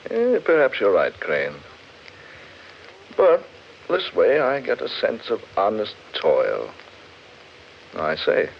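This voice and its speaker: An actor playing an earnest, curious, superstitious English gentleman in a 1940s radio drama, hemming and exclaiming. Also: on the low side, huge pitch swings, slow, gravelly, long pauses, full character voice. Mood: genial. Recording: muffled, noisy 1940s radio recording.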